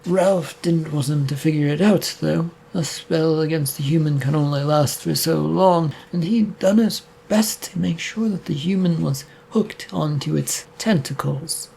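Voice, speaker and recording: A man narrating a story in a steady, plain reading voice. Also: a little high, unhurried, creaky, hardly any pauses, a little hiss or hum.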